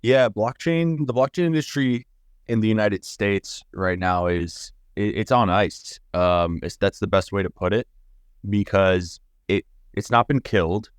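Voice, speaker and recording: Man, podcast host, very low voice, smooth, dry, rambling casual questions. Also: lively intonation, measured pace, no room sound. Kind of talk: casual interview hosting.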